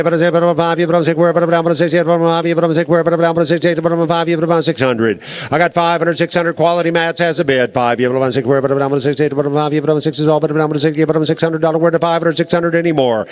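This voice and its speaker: A male livestock auctioneer rattles off bids in a rolling, rhythmic chant, repeating numbers over and over. His voice sits a little high and comes through crisp and clean. He talks at a breakneck clip.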